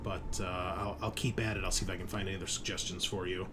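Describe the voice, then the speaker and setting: A man's voice: low voice, flat delivery, quick pace, sharp tone, slight background noise. A man playing a helpful, practical ship crewman reporting hesitantly and apologetically in a sci-fi roleplaying game. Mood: apologetic. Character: helpful ship crewman named Gray reporting over comms.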